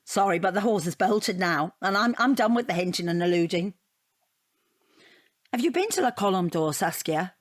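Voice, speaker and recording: An actress playing a chatty, defensive middle-aged British divorcee in an audio comedy drama, rambling and self-justifying with a wry edge. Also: female voice, mid-range voice, expressive, normal speed, no room sound, clean studio sound, close to an everyday voice. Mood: breezy, wry.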